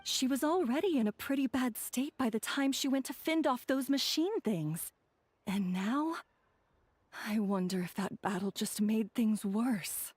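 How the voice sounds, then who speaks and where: A woman's voice: a little high, unhurried, breathy edge. A woman voicing a worried traveling merchant in a video game who speaks earnestly. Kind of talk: video game cutscene voice acting.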